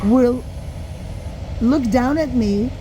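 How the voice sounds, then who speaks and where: Frank middle-aged woman talking on a train. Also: extremely slow. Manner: opinionated, frank, indignant. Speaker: drama and yoga teacher. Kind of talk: casual monologue vlog. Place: inside a moving train. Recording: train rumble in the background. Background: train and vehicle noise.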